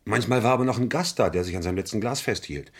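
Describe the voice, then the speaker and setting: A man's voice: on the low side, rapid-fire, slightly rough. An actor playing an evasive male murder suspect, defensive and hesitant as he pleads to be believed, in a vintage German crime radio play. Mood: uneasy confession.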